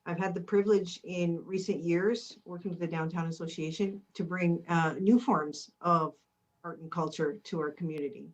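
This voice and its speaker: A woman's voice: fairly low pitch, steady tone, moderate pace, rough recording. A woman, giving an eager candidate speech at a public forum. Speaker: council candidate.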